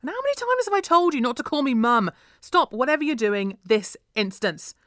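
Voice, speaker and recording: A woman's voice: medium pitch, big pitch swings, moderate pace, no echo, studio quality, close to an everyday voice. A woman voicing a harsh, mocking, bullying mother who scolds her daughter in a storybook reading. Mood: angry. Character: shrieking, scornful, bullying mother of a girl.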